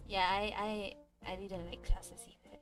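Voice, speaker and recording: A woman's voice: mid-range voice, little pitch movement, fairly bright tone, faint music behind, rough recording. A woman whose first language is Cantonese, chatting casually and hesitantly while streaming herself drawing. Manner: hesitant, nervous, halting with restarts. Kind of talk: casual livestream chat.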